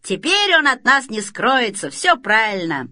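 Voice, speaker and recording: A woman's voice: a little high, very animated, measured pace, bright, forceful tone, dry recording, studio quality, exaggerated voice. A woman voicing a businesslike, officious male building manager, patronising and matter-of-fact, in a storybook reading. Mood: reassuring.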